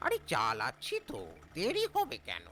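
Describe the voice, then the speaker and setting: A man's voice: fairly high pitch, huge pitch swings, normal speed, pushed and bright, a little hiss or hum, full character voice. A man playing a sly, menacing auto-rickshaw driver in a cartoon story. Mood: sly, evasive. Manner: sly, evasive, gruffly colloquial. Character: shady, menacing male auto-rickshaw driver, secretly a robber.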